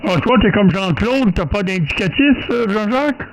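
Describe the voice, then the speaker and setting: A man's voice: high voice, lively intonation, normal speed, heavy background noise. A man with a Quebec French accent chatting casually as an amateur radio operator, feeling for his words. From Quebec, Canada. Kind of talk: casual radio contact chat.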